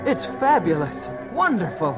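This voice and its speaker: Eager young man, higher-pitched radio-drama voice. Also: sing-song, normal speed. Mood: wide-eyed, polite. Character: naive, eager young traveler from the provinces. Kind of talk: old-time radio acting. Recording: muffled radio-play audio, music and crowd behind.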